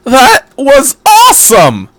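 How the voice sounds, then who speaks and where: A man's voice: very high voice, wildly swooping pitch, slow, fairly bright tone, no room sound, voice pushed up, full character voice. A man voicing an eager teenage schoolboy with a casual, matter-of-fact manner, in a story reading. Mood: excited.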